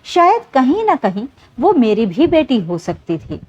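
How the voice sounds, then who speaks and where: A woman's voice: high-pitched, wildly swooping pitch, a little slow, darkish tone, highs cut off, full character voice. A woman voicing a wronged, remorseful older mother in a story, confessional and sorrowful. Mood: pained.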